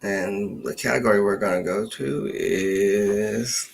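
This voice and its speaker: Male genealogy host from Canada, gravelly and slow-paced, casual, muttering through an on-screen search. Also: lowish voice, slight background noise. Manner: halting, thinking aloud, muttering to himself. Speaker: genealogist host of a live question session.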